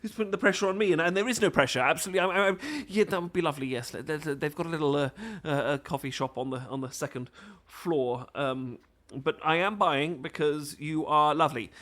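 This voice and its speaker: A man's voice: on the high side, fairly quick, hardly any pauses, a bit bright, lightly acted. A man playing a stammering, adorkable British bookworm. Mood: flustered, eager. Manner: stammering, hesitant, flustered rambling. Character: awkward, adorkable, bookish British man, nervous and apologetic. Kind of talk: romantic audio roleplay, ASMR.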